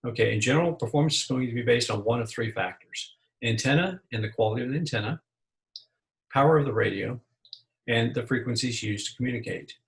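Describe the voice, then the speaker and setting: An American man presents a lesson in a plain, step-by-step way. His voice has a gravelly fry to it, at an ordinary male pitch. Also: fast, rough recording. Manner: plain, methodical explaining. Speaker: amateur radio presenter.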